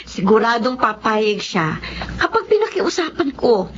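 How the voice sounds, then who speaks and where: A woman's voice: fairly high pitch, sing-song, a little slow, noisy background. A woman playing a determined Filipino working mother in her thirties in a radio drama. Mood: firm, insistent. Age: 30s. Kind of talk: radio drama acting and narration. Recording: muffled lo-fi audio under music.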